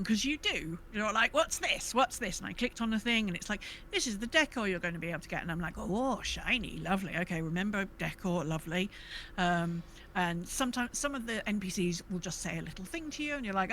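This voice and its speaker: A woman's voice: mid-range voice, brisk. A British woman hosting a podcast, chatting casually and acting out her reactions as she tells stories. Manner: animated storytelling, acting out her own reactions. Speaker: podcast host. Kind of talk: casual gaming podcast chat. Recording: clear background noise.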